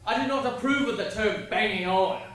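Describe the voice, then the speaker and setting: Actor as male ship's officer, high-pitched, formal, deferential, stage play, distant mic, heavy echo. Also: a little fast, fairly bright tone. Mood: defensive. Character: dutiful ship's officer, formal and defensive witness. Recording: very echoey stage, distant microphone.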